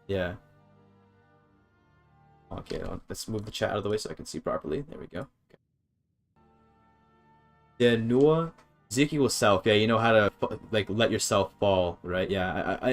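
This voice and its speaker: Chatty man streaming, thinking aloud. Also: lowish voice. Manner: thinking aloud, chatty, reads chat and works through grammar. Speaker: streamer learning a language. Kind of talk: casual live-stream commentary.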